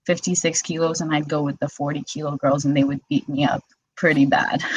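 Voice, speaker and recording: A woman's voice: deep voice, fairly even intonation, moderate pace, slightly rough, low-quality recording. A woman in her twenties from Quebec, a wrestler chatting casually as a guest and telling her story with lots of "like". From Quebec, Canada. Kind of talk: casual interview chat.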